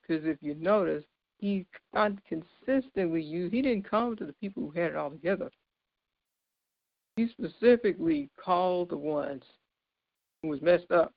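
A woman in her thirties with a deep, low voice, giving an informal, earnest devotional sermon and laughing lightly between points. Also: creaky, no room sound, muffled recording. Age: 30s. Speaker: speaker giving a devotional talk.

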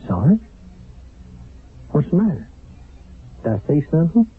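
Excitable folksy american private, super raspy, voice all over the place, old muffled radio play. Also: male voice, on the high side, a little slow, exaggerated voice. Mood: agitated. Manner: colloquial, uneducated grammar, excitable. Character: jumpy, talkative, folksy young American GI. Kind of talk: 1970s radio drama acting. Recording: muffled narrow-band 1970s radio broadcast.

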